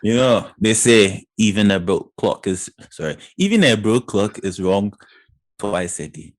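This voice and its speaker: A man's voice: on the low side, lively intonation, a little slow. A man with a British accent, from Ghana, co-hosting a podcast in a joking, slangy way. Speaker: podcast co-host. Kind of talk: casual banter.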